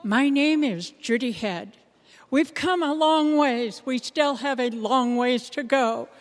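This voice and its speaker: A woman's voice: high-pitched, slow. An American woman giving a prepared personal statement as a readers theater performer. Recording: reverberant hall recording.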